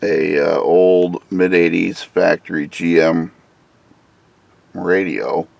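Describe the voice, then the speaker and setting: Male hobbyist reviewer, scratchy low voice, very slow relaxed delivery, casual explainer. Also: vocal fry. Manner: relaxed, unhurried explaining with frequent "uh"s. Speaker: car audio hobbyist reviewer. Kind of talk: casual hands-on product review.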